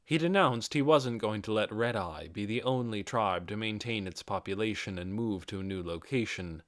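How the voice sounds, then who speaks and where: A man reading a fantasy audiobook as narrator, in a measured and expressive dramatic storytelling style. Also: lowish voice, no echo, studio quality.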